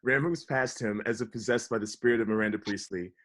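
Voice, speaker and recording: A man's voice: on the low side, quick pace, a bit bright, low-quality recording. A man reading out stage directions as narrator for a comedy script table read.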